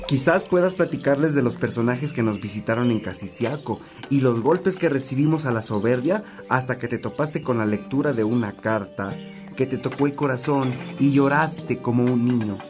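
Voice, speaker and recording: A man's voice: mid-range voice, animated, moderate pace, music in the background, close to an everyday voice. A man acting a genial priest and old companion who likes reminiscing, in a religious radio drama. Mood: amused, fond.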